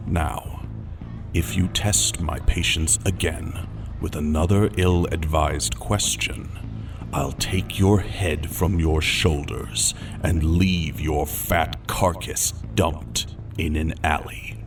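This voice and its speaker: Guy doing a cold superhuman warlord, very low voice, controlled and threatening, music under it. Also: fairly even intonation, creaky, far from the mic, exaggerated voice. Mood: menacing. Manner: cold, deliberate, ominously intimate. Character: menacing, composed male superhuman warrior commander. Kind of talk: dramatic audiobook character voice.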